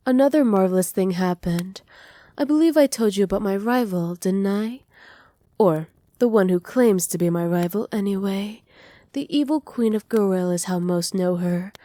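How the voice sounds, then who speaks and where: A woman voicing a doting, possessive evil witch in an intimate ASMR roleplay, speaking softly and teasingly, often slipping into breathy whispers. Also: mid-range voice, moderate pace, natural voice. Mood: smug, satisfied. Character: doting, possessive evil witch pampering her captive pet. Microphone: close microphone, dry.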